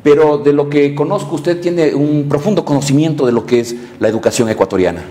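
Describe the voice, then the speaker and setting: A man's voice: on the high side, fairly quick, mellow. A man interviewing a government minister on television, asking formal, courteous questions and adding short affirmations.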